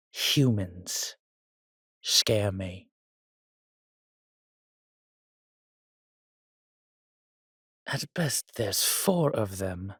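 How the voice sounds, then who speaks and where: An arrogant, menacing vampire warrior, acted by a man in an audio roleplay, speaking low and unhurried. He is theatrical and haughty, suave with his companion, and the sound is close and dry. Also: husky, no room sound, exaggerated voice. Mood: proud, boastful. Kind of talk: dramatic roleplay monologue, addressing a listener.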